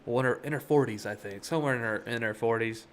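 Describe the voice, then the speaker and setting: A man's voice: mid-range voice, quick pace, slight background noise. A young man from Miami hosting a day trading live stream, chatting casually and rambling through asides with lots of fillers. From Miami, USA. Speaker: day trading livestream host.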